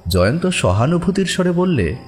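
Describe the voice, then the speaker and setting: A man narrating a mystery audio story in an expressive, scripted storytelling style. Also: fairly high pitch, normal speed, slightly rough. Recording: music behind the voice.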